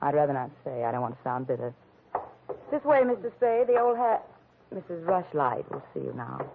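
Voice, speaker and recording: Bitter, clipped female secretary, rapid delivery, 1940s radio style, narrow phone-like fidelity. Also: sits low, sing-song, a little hiss or hum. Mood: tart, bitter. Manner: clipped, sarcastic. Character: tart, bitter female secretary, briskly superior. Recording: thin, narrow vintage radio recording.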